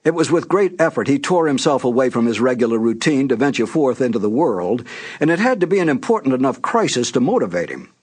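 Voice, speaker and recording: A man's voice: medium pitch, expressive, quick pace, darkish tone, slightly rough. A man, reading scripted narration as the host introducing classic mystery broadcasts.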